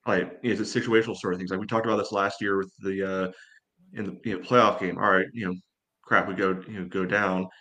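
Man, American accent, fairly low pitch, informal sports discussion, podcast co-host. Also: flat delivery, a little fast, fairly bright tone.

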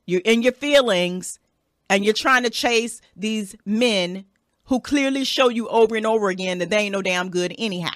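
A woman's voice: mid-range voice, moderate pace, no room sound, studio quality. Blunt, profane woman podcaster in her thirties. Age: 30s. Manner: blunt and profane, repeating "okay?". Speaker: podcast host. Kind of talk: casual advice monologue.

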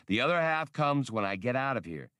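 A man's voice: medium pitch, very animated, fairly quick, fairly bright tone, smooth, dry recording, voice pushed up. Audiobook role, male reader: brusque male smuggler, short-fused and slangy, clipped snappish phrasing, close dry recording. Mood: curt, impatient. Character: brusque, hot-tempered male smuggler pilot. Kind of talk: audiobook character voice.

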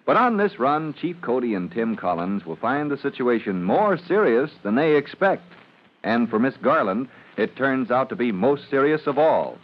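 A man's voice: medium pitch, big pitch swings, moderate pace. A man announcing a vintage radio serial with scripted, dramatic and emphatic delivery. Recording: dull old radio recording.

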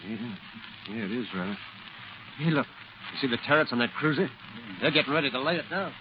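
An actor playing an earnest, literate war correspondent in a measured, dramatic 1940s radio style. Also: male voice, mid-range voice, expressive, background noise, close to an everyday voice. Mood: calm, keen. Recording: muffled 1940s radio recording with music.

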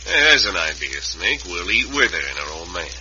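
A man's voice: low voice, sing-song, normal speed. A man playing a cold-blooded, gloating outlaw boss with an American Western accent and menacing folksy talk, in an old radio western. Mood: callous. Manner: menacing, folksy rough grammar. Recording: dull, noisy old radio recording.

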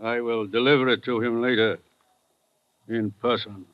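A man's voice: mid-range voice, steady tone, normal speed, close to an everyday voice. An actor playing a menacing man asking about the hero, cold and threatening, in an old radio drama. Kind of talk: 1940s radio acting. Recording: dull old radio recording with noise.

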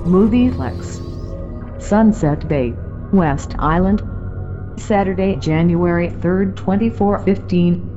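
Slow, dull-sounding automated cinema announcer. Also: female voice, fairly low pitch. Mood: neutral, measured. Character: measured automated female cinema showtime announcement voice. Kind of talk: recorded information announcement. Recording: dull lo-fi speaker under music.

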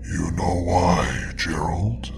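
A man voicing a grim, gloating male Reaper spirit who whispers slowly and coldly, rattling and taunting. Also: deep voice, flat delivery, creaky, recorded from a distance, background noise, pitched below the performer's own voice, exaggerated voice. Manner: slow, cold, taunting.

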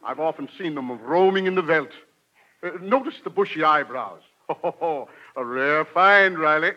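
An actor playing a pompous, wheedling, tightfisted uncle full of bluff in a 1940s radio sitcom. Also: male voice, fairly high pitch, expressive, a little slow. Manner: pompous, stammering when cornered. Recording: muffled 1940s radio recording.

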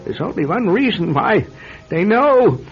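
An actor playing a frightened, paranoid man in his seventies in a radio drama, agitated and halting as he mutters. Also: high-pitched, sing-song, soft background music, heavily acted. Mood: anxious muttering. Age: 70s. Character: frightened, paranoid seventy-year-old pensioner living alone. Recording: dull, noisy old radio recording.

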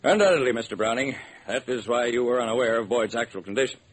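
A man playing a precise, formal medical examiner in an old-time radio crime drama, with measured diction. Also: mid-range voice, breathy edge, lightly acted. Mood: clinical, formal.

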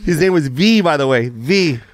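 A man in his forties chatting on a podcast, animated and profane, laughing often. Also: on the high side, normal speed. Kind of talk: casual podcast chat.

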